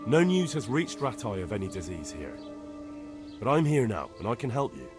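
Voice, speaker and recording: An actor playing an earnest young medieval envoy in a video game, direct and polite. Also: male voice, medium pitch, sing-song, normal speed, music in the background, natural voice. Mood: determined.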